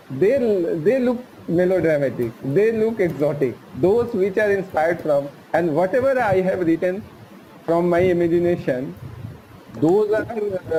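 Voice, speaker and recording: A novelist from Bihar, a Bhojpuri speaker, giving an earnest, hesitant panel answer full of fillers. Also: male voice, on the high side, dark tone, slight background noise, rough recording. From Bihar, India.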